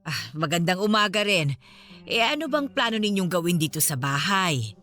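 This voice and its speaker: Lively, folksy elderly female caretaker. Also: lowish voice, normal speed, faint music behind. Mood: plain, obliging. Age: older. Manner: chatty, exclaiming, bashful. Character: elderly woman caretaker of an old house, modest, folksy. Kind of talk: Filipino radio drama acting.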